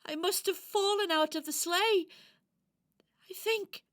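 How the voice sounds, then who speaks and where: Gentle, frightened little toy, played by a woman for children's radio drama: high, unhurried, tearful-sounding and faltering. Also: heavily acted. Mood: bewildered. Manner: hesitant, plaintive, stammering. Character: timid lost Christmas toy, gentle, tearful and easily frightened.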